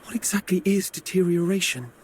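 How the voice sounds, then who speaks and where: An actor playing a calm, inquisitive young male investigator in a video game, pressing for answers. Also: sits high, brisk, husky. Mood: curious, pondering. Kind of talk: video game character dialogue.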